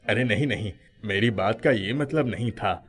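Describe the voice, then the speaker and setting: A man voicing a grateful, gentle older village man in an animated moral story, speaking sincerely. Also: on the low side, normal speed, pitched below the performer's own voice, close to an everyday voice.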